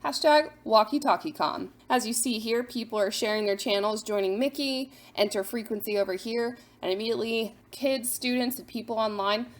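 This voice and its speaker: A woman's voice: on the high side, moderate pace, hardly any pauses. A woman hosting a scripted explainer for parents, instructive, posing questions and then answering them. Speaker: host of a parent guide to apps.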